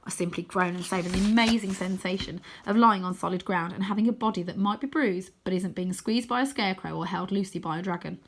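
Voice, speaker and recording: A woman reading a children's novel aloud as expressive narration, with dramatic, animated emphasis. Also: mid-range voice, fast, few pauses.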